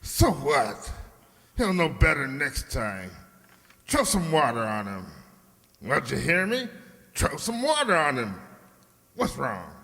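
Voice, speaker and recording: An actor playing a hot-tempered small-time crook with gruff, slangy, bullying talk in an old-time detective radio play. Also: male voice, mid-range voice, huge pitch swings, slow pace, pauses often, pushed and bright, exaggerated voice. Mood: rattled. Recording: echoey hall with long reverb.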